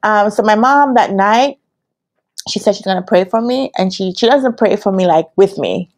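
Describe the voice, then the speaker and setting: A woman in her thirties with a Jamaican accent, telling a personal story casually to camera in an animated, expressive way, acting out dialogue as she goes. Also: medium pitch, moderate pace. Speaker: business analyst trainer telling her career story. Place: quiet indoor room with curtains. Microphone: lapel.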